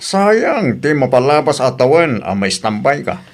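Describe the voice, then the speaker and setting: A man's voice: mid-range voice, sing-song, unhurried. A man with an Ilocano accent playing an inquisitive, easygoing older landlord in an audio drama. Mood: curious, probing.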